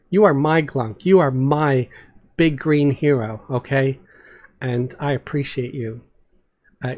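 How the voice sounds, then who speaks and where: A man's voice: medium pitch, a little mellow. A man hosting his channel, chatting casually to his viewers in an outro. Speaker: channel host.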